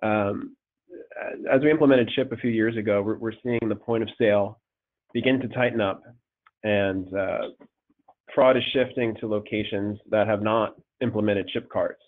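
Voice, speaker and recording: A man with a cold presenting a webinar on fraud as a risk manager, halting and repeating words, with many ums. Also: fairly low pitch, steady tone. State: congested with a cold. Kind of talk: slide presentation over a call. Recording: tinny phone-line audio.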